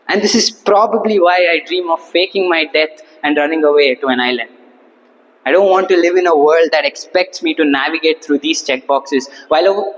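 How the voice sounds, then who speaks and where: A young man giving a humorous prepared speech to an audience, with a theatrical, rhetorical delivery and deliberate pauses. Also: sits high. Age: young adult. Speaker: club speaker at Toastmasters. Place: indoor hall, speaking to a seated audience. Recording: reverberant hall.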